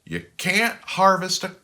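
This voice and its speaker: A man's voice: high voice, sharp tone, distant mic, voice pushed up, exaggerated voice. A man voicing a practical, down-to-earth rabbit farm mother in a storybook, drawling and skeptical.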